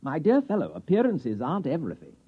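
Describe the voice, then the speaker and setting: Fussy alien ghost doctor, British accent, highish raspy voice, extremely animated, suspicious, old radio drama. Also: male voice, quick pace, heavily acted. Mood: argumentative. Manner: fussy, affected upper-class politeness. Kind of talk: 1950s radio drama acting. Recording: dull vintage radio recording.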